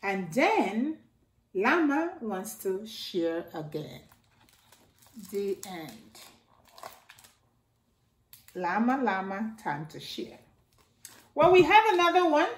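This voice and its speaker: A middle-aged woman reading a rhyming picture book aloud to children in a slow sing-song way, filmed indoors at home by a camera a few feet away. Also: mid-range voice, very animated. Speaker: storytime reader. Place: living room by a staircase, indoors. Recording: echoey room.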